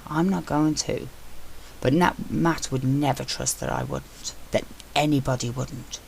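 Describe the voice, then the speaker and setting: A tense, anxious young man, speaking quietly and with strain, as a woman narrator puts him on. The voice stays low and close to her own. A faint hiss sits under the reading. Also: very animated, a little slow, gravelly, exaggerated voice.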